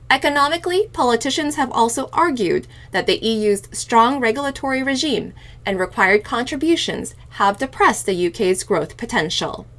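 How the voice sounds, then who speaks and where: A woman hosting a news programme, reading a scripted report in a measured, enunciated way. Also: a little high, fairly bright tone. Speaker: news podcast host reading headlines.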